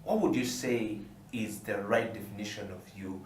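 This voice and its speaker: A Ugandan man hosting a live TV interview, energetic and promotional, asking questions and affirming often. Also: on the low side, moderate pace, recorded from a distance, slight background noise. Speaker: TV talk show host. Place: TV studio. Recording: echoey room, set back from the mic.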